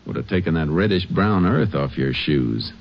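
A low-pitched, tough lawman in an old-time radio western. He speaks in clipped, commanding lines with a dry edge. The broadcast recording is muffled and aged. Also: expressive, moderate pace. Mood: dry, confident. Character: tough, wry Texas Ranger leading a manhunt. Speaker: radio drama actor.

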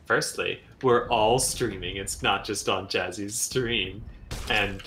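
A woman's voice: rumbling low, slow pace, background noise. A woman chatting and joking with friends during a game stream, reading viewer questions aloud. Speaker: guest streamer. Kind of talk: casual gaming chat.

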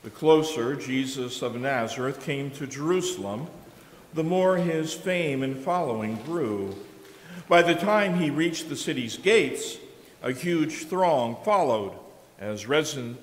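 A middle-aged man reading scripted narration from a lectern in a church sanctuary, steady and measured. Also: medium pitch. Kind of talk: scripted narration read aloud. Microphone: lectern microphone. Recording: echoey church with long reverb and faint noise.

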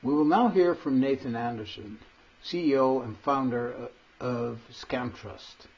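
A man's voice: medium pitch, measured pace. Hesitant man introducing speakers. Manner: hesitant, many fillers and restarts. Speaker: session host introducing speakers. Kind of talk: halting spoken introduction. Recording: dull lo-fi audio.

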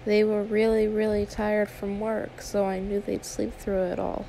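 A woman's voice: medium pitch, flat delivery, unhurried, warm tone, slight background noise, lightly acted. A woman playing a friendly, trusting young female YouTuber in a conversational ASMR roleplay, chatty and confiding with some hesitation. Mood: confiding, earnest. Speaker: roleplay audio performer.

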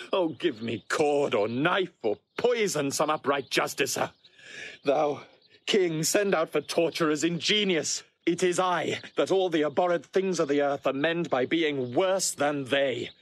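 Tragic husband for classical audio drama: remorseful nobleman, impassioned declamation, raised pitch, dry recording. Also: a bit bright, dry recording.

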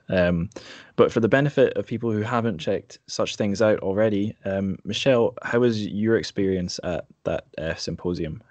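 Male podcast host, lower-pitched, wry and understated, casual interview chat, close dry sound. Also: a little mellow, no room sound, highs cut off.

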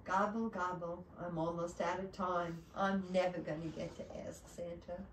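A woman's voice: on the low side, flat delivery, normal speed, a little hiss or hum, close to an everyday voice. A woman as an anxious male turkey, scheming, exclaiming, storybook character, distant echoey recording. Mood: despairing. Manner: exclaiming, gobbles when thwarted.

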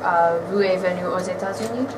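A woman's voice: lowish voice, fairly quick. Hesitant woman interviewer, far off. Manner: hesitant, many fillers. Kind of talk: halting interview questions. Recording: distant mic with loud background noise.

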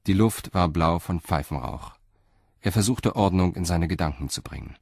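A man narrating a scripted crime story as a radio drama narrator, in a sober, measured manner. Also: deep voice, lively intonation, gravelly, no room sound, studio quality.

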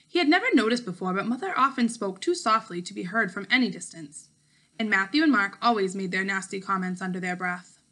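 Bright-voiced woman teacher reading aloud. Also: mid-range voice. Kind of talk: read-aloud storytelling with casual class talk. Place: home kitchen, indoors.

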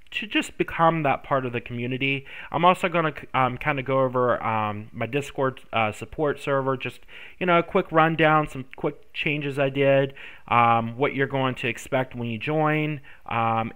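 A man's voice: mid-range voice, a bit bright, clean tone. A congested man hosting a screen-recorded tech tutorial, rambling with many ums and self-corrections. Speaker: tutorial host.

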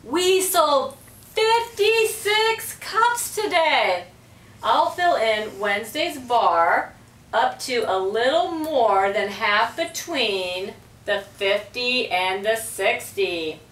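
Storybook girl, played by a woman reader: eager, organized, exclaiming, high and lively. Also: extremely slow, full character voice. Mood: excited. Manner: announcing, exclaiming. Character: eager, organized girl announcing lemonade sales. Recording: roomy, distant microphone.

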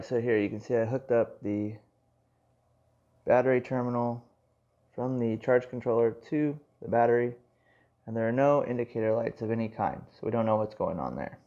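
A man's voice: medium pitch, highs cut off. A man giving a casual hands-on product review indoors at home, explaining in a conversational way with many asides.

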